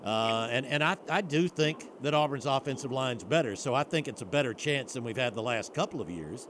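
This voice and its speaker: A man co-hosting a sports talk radio show, giving measured, brief replies. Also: mid-range voice, lively intonation, background noise.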